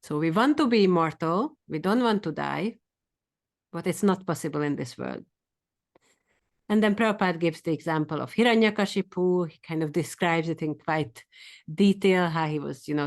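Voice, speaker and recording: A woman with a Hungarian accent teaching a scripture study class, quick and eager, sometimes speeding up. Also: fairly low pitch.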